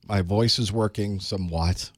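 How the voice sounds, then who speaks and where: A man with a hoarse voice from a cold, chatting casually to his live stream audience while he sets up for an online class. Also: lowish voice. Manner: chatty, wry, talks to himself while setting up. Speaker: online course instructor. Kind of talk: casual pre-show chat to a live stream. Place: small studio desk.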